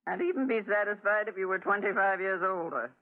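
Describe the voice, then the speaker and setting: A woman's voice: mid-range voice, fairly quick, lightly acted. A woman acting a bossy, flirtatious bar proprietress in a 1940s radio drama, brassy and teasing. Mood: coaxing, teasing. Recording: phone-like 1940s radio recording.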